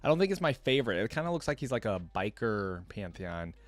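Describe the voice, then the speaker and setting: A man's voice: fairly low pitch, very animated, fast, no echo. A man giving casual reaction commentary as a video host, thinking aloud in an informal way. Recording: music playing behind.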